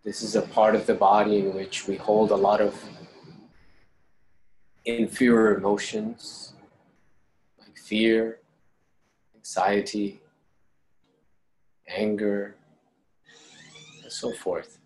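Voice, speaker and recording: Slow, low-voiced man guiding meditation. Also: rough recording. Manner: calm, unhurried instruction with long pauses. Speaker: guided meditation leader. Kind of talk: guided meditation. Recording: clear steady background noise.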